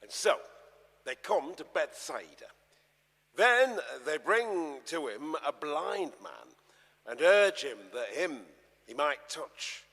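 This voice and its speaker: Slow, husky male scripture narrator in echoing hall. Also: fairly high pitch. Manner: deliberate, expressive storytelling delivery. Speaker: actor reading the narrator of a gospel. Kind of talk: dramatic scripture reading. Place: large church, live performance before an audience. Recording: long echoey church reverb.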